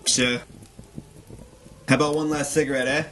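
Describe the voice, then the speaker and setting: Male actor as resigned rival gangster, monotone, weary, halting, radio drama, faint noise. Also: mid-range voice, natural voice. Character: resigned captured rival mob boss. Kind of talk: old-time radio drama acting.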